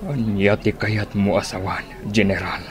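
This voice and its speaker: A man's voice: lowish voice, fairly even intonation, normal speed, soft background music, some chatter behind, lightly acted. A man playing a defiant, insistent old man in an Ilocano radio drama.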